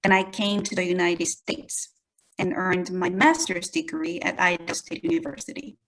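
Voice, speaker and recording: A woman from South Korea with a Korean accent presents a prepared webinar talk as an English language educator, speaking deliberately and addressing her audience directly. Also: lowish voice, fairly bright tone, rough recording.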